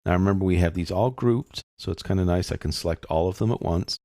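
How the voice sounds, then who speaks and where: A man calmly narrating a screen-recorded software tutorial, explaining each step as he goes. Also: low voice, fast talker, no echo, studio quality. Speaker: software instructor.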